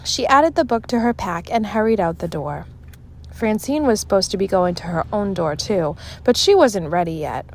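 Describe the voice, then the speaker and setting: A woman's voice: mid-range voice, lively intonation, normal speed, a little hiss or hum. A woman narrating a children's chapter book aloud as a storyteller. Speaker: storybook reader.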